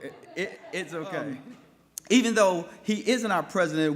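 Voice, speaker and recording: A man's voice: a little high, a bit bright. A man from North Minneapolis giving an impassioned speech at a public forum, often restarting his words. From North Minneapolis, USA. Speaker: panel speaker. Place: forum hall with live audience.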